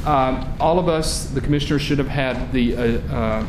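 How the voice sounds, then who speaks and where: A man chairing a public commission meeting into a room microphone, with a loud voice and a halting delivery full of fillers and restarts. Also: mid-range voice, roomy sound, noisy background. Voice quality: self-described loud voice.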